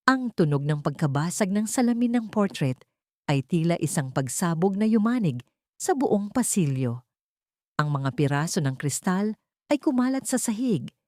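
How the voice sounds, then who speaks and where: A woman's voice: lowish voice, a little mellow, no echo, clean studio sound. A woman narrator reading a scripted, dramatic story.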